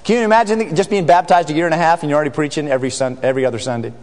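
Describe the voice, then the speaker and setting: A middle-aged American man, a missionary, telling stories to a church congregation in an animated, joking way. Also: a little high, rapid-fire, soft background music. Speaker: missionary speaker. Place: large church sanctuary. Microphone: far camera. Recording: reverberant sanctuary.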